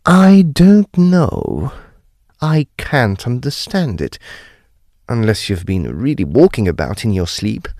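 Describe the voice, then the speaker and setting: A man's voice: medium pitch, slow, dry recording, clean studio sound. Man acting uneasy, swooping ghost-story son. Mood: nervous, apologetic. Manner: formal, old-fashioned, expressive storytelling. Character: polite, uneasy adult son recounting a haunting. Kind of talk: dramatic ghost-story narration and audio drama dialogue.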